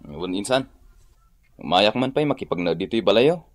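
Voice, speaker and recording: A man playing a peacemaking Ilocano ex-convict in a radio drama. His low voice moves with lively inflection, recorded close and dry, and he talks in a placating, conversational way. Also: normal speed, dry recording, faint music behind, close to an everyday voice. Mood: subdued, conversational. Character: calm peacemaking male cousin back from prison.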